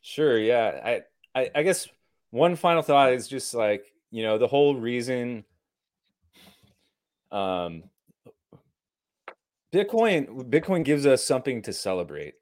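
A man's voice: medium pitch, sing-song, slow pace, long pauses, darkish tone. A man speaking as a guest on a casual live-stream chat, earnest and rambling, enthusiastic and swearing casually. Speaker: podcast guest.

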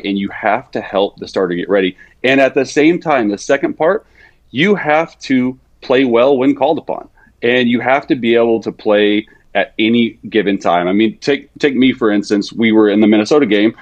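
Low-voiced man in his thirties, relaxed. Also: no echo, lo-fi sound. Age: 30s. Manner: relaxed, conversational, frequent fillers and restarts. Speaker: guest, NFL backup quarterback. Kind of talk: casual podcast interview.

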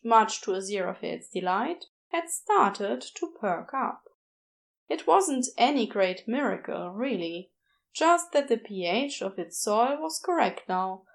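A woman narrating a scripted story as an audiobook-style reader. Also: on the high side.

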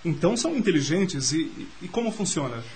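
Man acting as high-voiced, curious French nobleman. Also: big pitch swings, fairly quick, a little mellow, slight background noise. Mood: eager, curious. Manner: formal old-fashioned diction, eager questioning. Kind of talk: radio drama acting.

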